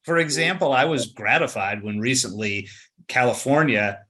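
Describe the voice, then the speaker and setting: A man's voice: medium pitch, normal speed, background noise. A man answering as an interview guest, making his points emphatically and often ending them with "right?". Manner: emphatic, repeats words, says "right?". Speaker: company executive guest.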